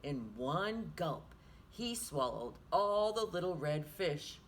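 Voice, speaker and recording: A woman hosting children's storytime, reading a picture book aloud in an animated, sing-song manner. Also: low-pitched, takes it slowly, a little hiss or hum. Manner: animated, sing-song, with hushed asides to listeners.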